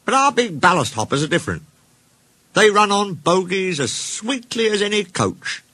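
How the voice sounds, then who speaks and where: A man voicing a small, grumpy steam engine in a children's storybook, rude and quick-tempered, with a blunt grumbling manner. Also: high voice, sing-song, pushed and bright, pitched above the performer's own voice, exaggerated voice. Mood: blunt, boastful.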